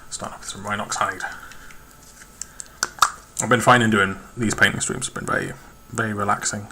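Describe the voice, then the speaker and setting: A man's voice: on the low side, level tone, moderate pace, background noise. A man live-streaming his miniature painting at a home desk, muttering to himself and chatting to viewers. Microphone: webcam perched on a lamp.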